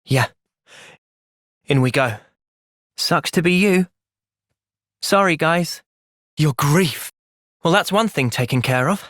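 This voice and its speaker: A man's voice: on the high side, lively intonation, slow, pauses often, a bit bright, no echo, studio quality. A man playing an earnest, thoughtful young soldier hero with a British accent in a video game. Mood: calm, resolute. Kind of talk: video game cutscene voice acting.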